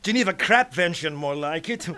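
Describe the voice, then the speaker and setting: A man's voice: fairly high pitch, animated, moderate pace, bright, forceful tone, clean tone. A man playing a pompous, bombastic United Nations leader who blusters and cuts others off in a satirical radio serial. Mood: grandiose. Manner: grandiose, blustering, cutting others off.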